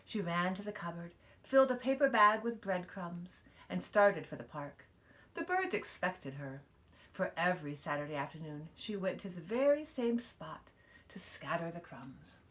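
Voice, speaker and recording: A woman reading a children's picture book aloud, expressively, with dramatic pauses and emphasis. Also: on the low side, sing-song, fairly bright tone. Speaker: storybook reader.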